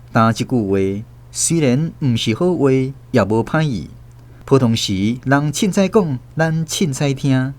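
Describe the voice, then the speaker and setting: A man's voice: mid-range voice, a little mellow. A man with a Taiwanese accent, telling a story as the narrator of a radio drama. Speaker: host and narrator.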